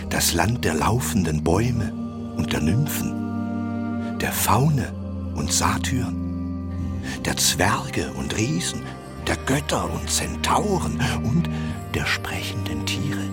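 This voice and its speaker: An old, kindly half-dwarf tutor in a children's radio play. The voice is extremely low and slow, solemn and wistful, with clear music behind it. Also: male voice, heavily acted. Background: clear background music.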